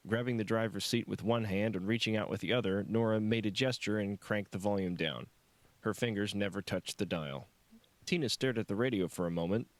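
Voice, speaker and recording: A man's voice: lowish voice, dry recording. A man reading his own novel aloud as scripted audiobook narration.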